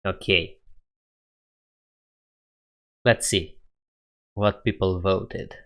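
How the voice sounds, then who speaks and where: A man's voice: deep voice, fairly even intonation, drawn-out words, fairly bright tone. A young man with a Romanian accent, casually chatting with viewers on a coding live stream, laughing often and thinking aloud.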